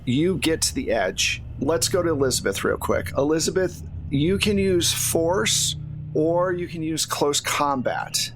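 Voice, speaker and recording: A man's voice: a little high, animated, unhurried. A man acting as game master, narrating scenes and explaining rules to the players as he checks in with them. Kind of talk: narrating and refereeing a tabletop game. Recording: clearly noisy background.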